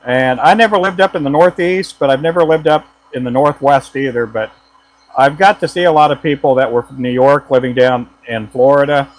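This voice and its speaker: A man's voice: medium pitch, a little fast, low-quality recording. A man from the United States chatting casually and joking with viewers while he works, laughing often. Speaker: lure maker and channel host. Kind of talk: casual live chat while working.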